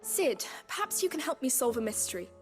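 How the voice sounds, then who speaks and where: A woman playing a polite, earnest, gently firm schoolteacher in a fantasy video game. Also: high voice, sing-song, over music. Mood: puzzled, wry. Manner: measured, well-spoken.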